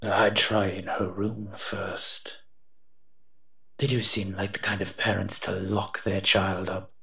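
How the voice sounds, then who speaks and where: Monster companion played by a man: lowish, forceful voice, sardonic and menacing yet protective, for audio roleplay. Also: level tone, slow, creaky, heavily acted. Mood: brooding, low-key. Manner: teasing, sardonic, muttering asides. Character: eight-foot male smoke monster, sardonic, menacing, protective. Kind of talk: scripted roleplay audio drama.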